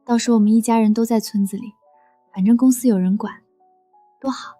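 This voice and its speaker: An actress playing a clingy, mischievous younger sister from the city, affectionate and pleading. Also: female voice, on the high side, steady tone, brisk, mellow, no room sound, soft background music, natural voice. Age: young adult. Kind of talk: scripted drama acting.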